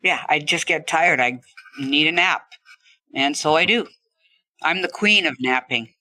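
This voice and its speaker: A woman's voice: low-pitched, unhurried, pushed and bright, no room sound. A woman speaking as an interview guest, telling personal anecdotes in a conversational, emphatic way. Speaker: interview guest, stroke survivor.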